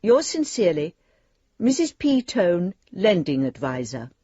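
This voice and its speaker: A formal bank lending advisor in a radio play. Her voice is husky and expressively varied, precise in its official phrasing, with a dry, slightly dull sound. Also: on the low side, sing-song, a little slow, mellow, dry recording, full character voice. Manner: official, precise letter-reading. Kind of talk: radio drama letter monologue.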